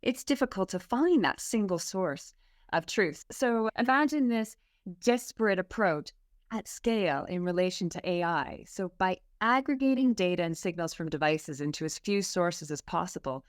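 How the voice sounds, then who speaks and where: Upbeat Canadian woman, lowish voice. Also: expressive, normal speed, dry recording, studio quality. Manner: upbeat, articulate, some fillers. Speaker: guest, security specialist. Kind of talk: conversational interview.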